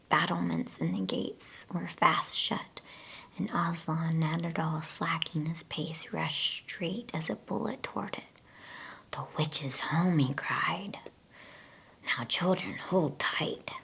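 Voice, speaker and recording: A woman's voice: on the low side, gravelly, slightly rough. Whispering woman reading bedtime stories. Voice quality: soft unvoiced whisper. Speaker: ASMR bedtime story reader. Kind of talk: whispered ASMR storybook reading.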